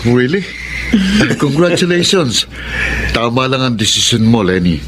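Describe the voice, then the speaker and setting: An actor playing a polite, hesitant ex-husband turned friend in a radio drama. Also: male voice, mid-range voice, sing-song, measured pace. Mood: polite, subdued. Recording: clear background noise and ambience.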